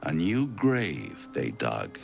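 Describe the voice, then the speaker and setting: An actor playing a sly theatrical schemer in a video game, teasing and lilting as if reciting dark verse. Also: male voice, rumbling low, huge pitch swings, slow, vocal fry, over music, full character voice. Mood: mock ominous. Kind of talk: video game character acting.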